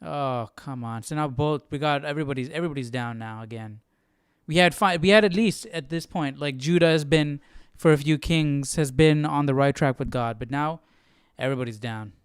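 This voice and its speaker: A man's voice: fairly high pitch, moderate pace, clear, resonant tone, no room sound, clean studio sound. A man hosting a casual Bible study livestream, commenting informally and thinking aloud. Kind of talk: casual live reading commentary.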